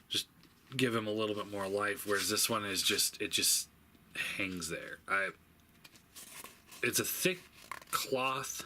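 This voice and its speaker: A man giving a casual, unscripted product review, thinking aloud in a halting way and stating blunt opinions. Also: on the low side, bright, forceful tone. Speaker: toy reviewer. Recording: faint background noise.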